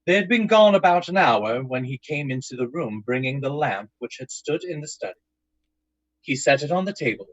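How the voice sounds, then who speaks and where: Husky man narrating an old-time radio drama. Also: medium pitch, fairly bright tone, no room sound, lo-fi sound.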